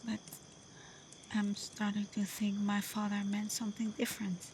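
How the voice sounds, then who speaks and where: A woman voicing a young, devoted, vulnerable half-blood kitsune in an emotional ASMR roleplay, often slipping into breathy whispers. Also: medium pitch, monotone, moderate pace, darkish tone, slight background noise, natural voice. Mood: wistful, hesitant. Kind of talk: ASMR roleplay voice acting addressed to the listener.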